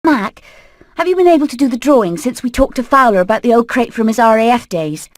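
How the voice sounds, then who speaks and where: A British woman playing a determined, plucky hen in an animated video game, speaking in a brisk, earnest, rallying way. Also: a little high, no room sound, studio quality, close to an everyday voice. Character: determined, plucky female hen leading an escape plan.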